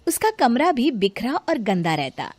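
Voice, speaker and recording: High-voiced woman storyteller, dry sound. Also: big pitch swings, unhurried, no echo. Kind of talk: scripted story narration.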